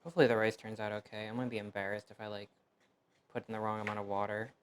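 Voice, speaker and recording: A man's voice: lowish voice, little pitch movement, very fast, slight background noise. A young man from the United States whose first language was Fuzhounese, chatting loosely on a cooking stream with lots of fillers and self-deprecating asides. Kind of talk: casual cooking stream chat.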